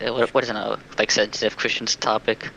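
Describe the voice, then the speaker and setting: Very sharp, bright-voiced man, hesitant. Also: fairly low pitch, level tone, quick pace, creaky, slight background noise, poor audio. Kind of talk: casual livestream chat.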